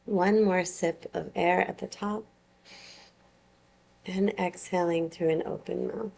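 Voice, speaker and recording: A woman teaching yoga nidra, guiding a relaxation with soothing, unhurried phrasing and long pauses. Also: fairly low pitch, steady tone, gravelly, a little mellow. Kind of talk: guided meditation, gentle instruction.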